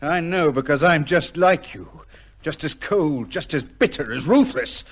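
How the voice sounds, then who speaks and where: An actor playing a cold, ruthless, vengeful son with a theatrical, taunting manner in an old-time radio drama. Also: male voice, a little high, expressive, measured pace, slightly rough. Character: bitter, vengeful illegitimate son, cold, ruthless, with heart trouble.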